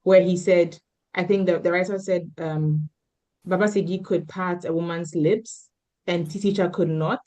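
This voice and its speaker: A woman's voice: fairly low pitch, steady tone, normal speed, dark tone. A woman talking as a panelist in a casual group discussion about a book.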